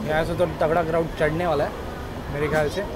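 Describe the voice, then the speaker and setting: Guy in his 30s vlogging a train trip on his phone, higher voice, loud rattling train noise all around. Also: flat delivery, fast talker. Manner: chatty, addresses viewers as guys. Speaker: travel vlogger. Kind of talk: casual train travel vlog. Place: train coach and station platforms. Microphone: phone held at arm's length.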